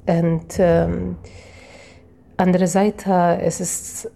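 Slow, gravelly young woman with Arabic accent. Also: on the low side, level tone, mellow. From Palestinian town in Israel. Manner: halting, searching for words, hesitant. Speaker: interviewee, piano student. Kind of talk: interview answers.

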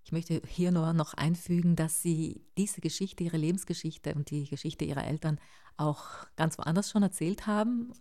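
This is a woman interviewer. Her voice sits low for a woman and has a dark, relaxed tone. She guides the conversation in measured, conversational sentences. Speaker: interviewer, journalist.

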